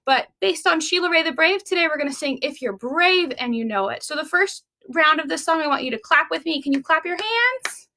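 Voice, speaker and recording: A woman reading and talking to young children as a library storytime host, expressive and deliberate. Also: sits high.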